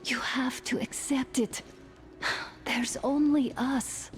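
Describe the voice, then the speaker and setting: Slow, pleading young wife. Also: female voice, high voice, fairly even intonation, faint music behind. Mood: worried, pleading. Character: worried young wife pleading with reckless husband. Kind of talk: dramatic video game cutscene acting.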